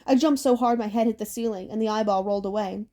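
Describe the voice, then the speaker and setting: A woman's voice: medium pitch, fast, mellow. A woman reading a scripted story aloud as an audiobook narrator.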